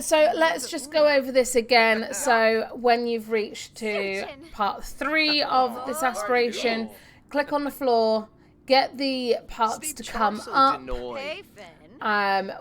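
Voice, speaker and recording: A woman hosting a casual game walkthrough, chattily talking through each step and sharing tips. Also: on the high side. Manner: chatty, narrates own actions step by step, reads pop-ups aloud. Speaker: gaming video host.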